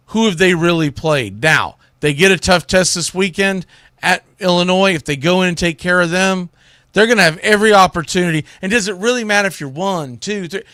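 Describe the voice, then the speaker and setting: A man hosting a sports show, giving casual, opinionated commentary in a conversational, emphatic way with pauses for effect. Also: sits high, sharp tone, no room sound, studio quality. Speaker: sports radio show host.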